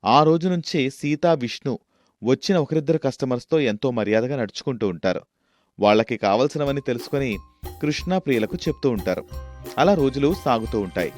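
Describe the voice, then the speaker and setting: A man's voice: medium pitch, expressive, brisk, darkish tone, dry recording, soft background music. Man narrating a story, dry close sound.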